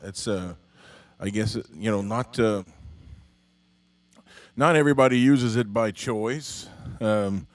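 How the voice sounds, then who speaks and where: A man's voice: fairly low pitch, slow, pauses often, dry recording. A man from Norway with a Norwegian accent, hosting a live music show from a home studio in a rambling, hesitant, joking way. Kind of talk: casual livestream chat.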